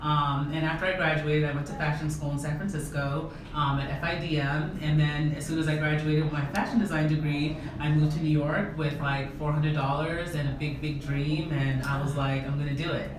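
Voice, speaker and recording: A woman fashion designer who grew up in the United States, answering interview questions in a relaxed, rambling way with lots of fillers. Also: deep voice, a little fast, hardly any pauses. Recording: echoey room, distant mic, background noise.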